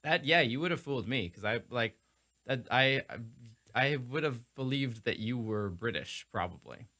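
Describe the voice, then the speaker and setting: A man's voice: medium pitch, expressive, normal speed, sharp tone. A man hosting a live stream, asking long rambling questions with many restarts. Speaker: host. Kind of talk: casual interview on a live stream.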